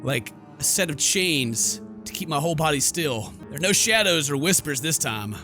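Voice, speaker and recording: A theatrical, excitable man streaming a horror game, switching between dramatic read-aloud and jokey casual commentary. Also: fairly high pitch, moderate pace. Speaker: gaming streamer. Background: game music.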